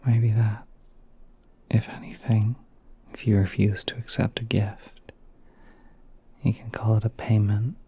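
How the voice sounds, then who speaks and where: A very mellow, breathy spider prince played by a man murmurs in a soothing ASMR roleplay. His delivery is low and even, often dropping to a whisper. He is courteous and a little shy, musing hesitantly as he talks. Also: heavily acted. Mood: caring, earnest. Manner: hesitant, polite, musing, unhurried. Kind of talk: ASMR roleplay, soft-spoken monologue.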